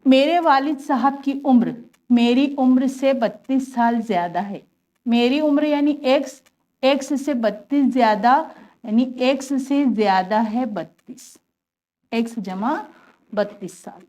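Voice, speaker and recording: Woman, maths teacher, patient, step-by-step explanation, slightly high voice. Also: a little slow, dark tone. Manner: patient, step-by-step, asks and answers her own questions. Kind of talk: classroom lesson, explaining worked examples.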